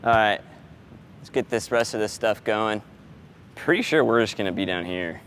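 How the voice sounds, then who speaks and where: Man, lowish voice, audio technician, casual working talk, school gym, clear background noise. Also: normal speed, a bit bright. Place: school gymnasium during event setup.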